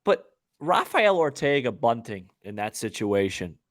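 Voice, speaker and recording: A man's voice: medium pitch, very animated, unhurried. A man co-hosting a sports podcast, chatting casually with opinions and repeating phrases for emphasis.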